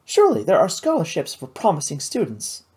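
An audiobook reader voicing a wealthy, eager, well-meaning young woman who is earnest and quick to take offence at unfairness. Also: medium pitch, wildly swooping pitch, dark tone, slightly rough, pitched above the performer's own voice, heavily acted. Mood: indignant.

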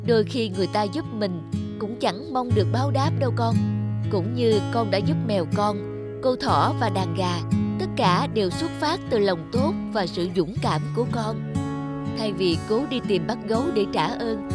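Woman as a gentle, wise mother dog. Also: medium pitch, animated, moderate pace, loud music behind the voice, natural voice. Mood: tender, soothing. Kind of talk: children's cartoon storytelling dub.

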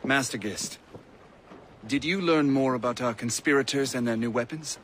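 An actor playing a grave, courteous colonial colonel in a video game, speaking formally and with measured wisdom. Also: male voice, mid-range voice, natural voice. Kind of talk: video game cutscene acting. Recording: outdoor ship ambience and noise behind.

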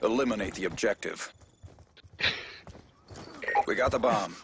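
An actor playing a stern military shooter announcer, giving clipped, commanding tactical callouts in a gravelly voice. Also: male voice, fairly low pitch, a little slow. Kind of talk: terse tactical game callouts. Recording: noisy game audio under voice chat. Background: gunfire, clicks.

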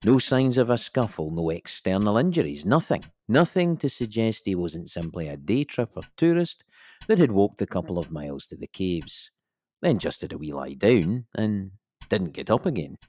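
A low, dry-sounding Scottish detective inspector in an audio drama. He recounts things in a measured, wry, matter-of-fact way, recorded close and dry. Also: big pitch swings, a little mellow, no echo. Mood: matter-of-fact, reflective. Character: dry, methodical male Scottish police inspector. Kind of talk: audio drama monologue, giving testimony.

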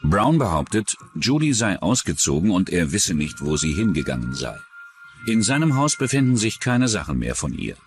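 A man narrates a true-crime documentary in a deep voice with lively intonation. The scripted delivery runs over faint music. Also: normal speed.

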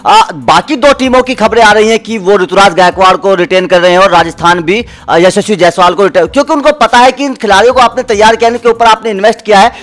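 A man's voice: high-pitched, fast talker, few pauses, a bit bright. A man in his thirties, a cricket analyst on a studio panel, making points by listing examples and gesturing as he talks. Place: indoor TV studio set.

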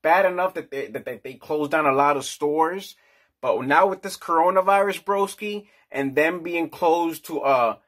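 A man's voice: a little high, moderate pace, fairly bright tone. A man in his forties giving a casual, crude and rambling rant in a small indoor office. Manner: brash, crude, slangy, rambling. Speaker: vlogger.

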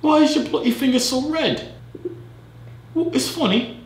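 A young man hosting a comedy video, sliding between a loud, high-pitched mock British accent and a low, casual drawl. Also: a little slow, echoey room. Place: bedroom studio, indoors.

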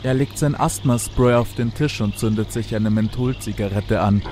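A man acting as a detached, brooding narrator in a literary radio play, delivering his lines deadpan. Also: on the low side, normal speed, natural voice. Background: faint music.

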